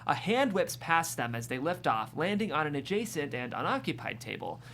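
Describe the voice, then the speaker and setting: Stage directions reader: mid-pitched, descriptive, amused, scripted comedy narration, slight room noise. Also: sing-song, moderate pace, fairly bright tone. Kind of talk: scripted screenplay narration at a table read.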